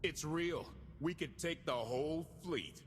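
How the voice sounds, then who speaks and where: A man playing an excitable, wisecracking starship pilot in a video game. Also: a little high, a little slow, heavy background noise, close to an everyday voice. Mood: excited. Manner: wisecracking, exclamatory. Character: brash, wary male starship pilot, excitable.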